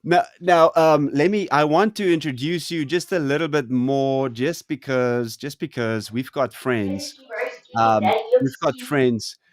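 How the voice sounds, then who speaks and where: A man from South Africa hosting a casual video-call conversation, talking in a halting way with many fillers and restarts. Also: mid-range voice. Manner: hesitant, lots of ums and restarts. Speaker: host.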